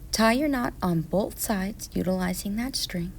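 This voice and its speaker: A woman narrating step-by-step craft instructions for children in a measured, scripted way. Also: medium pitch, creaky, slight background noise.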